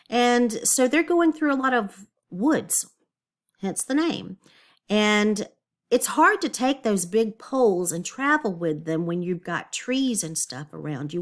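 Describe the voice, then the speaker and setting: Woman teacher with lively, swooping intonation. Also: mid-range voice. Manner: explanatory, asks the listener questions and thinks aloud. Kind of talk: read-aloud lesson for children with explanatory asides.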